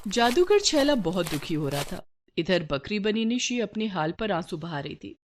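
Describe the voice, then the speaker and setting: A woman's voice: mid-range voice, very animated, normal speed, slight background noise. A woman reading a scripted moral story for children as its narrator.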